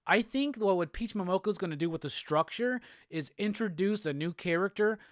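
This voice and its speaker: A man from Alabama with a Southern accent, hosting a casual live show and answering chat comments in a relaxed, rambling way. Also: high-pitched, fairly quick, no echo, studio quality. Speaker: host.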